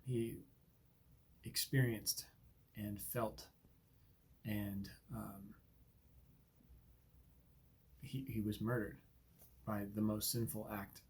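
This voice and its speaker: Devotional host, man, congested, husky and low, unhurried reflective delivery. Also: flat delivery. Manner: earnest, reflective, with many ums and uhs. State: stuffy from allergies, sniffling. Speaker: Bible reading podcast host. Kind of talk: devotional commentary and scripture reading.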